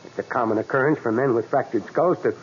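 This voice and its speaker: An actor playing a stern, rational middle-aged doctor and husband in a 1940s radio drama, speaking in an emphatic manner. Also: male voice, mid-range voice, fast talker, breathy edge, close to an everyday voice. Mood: dismissive, firm. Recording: muffled noisy 1940s radio recording.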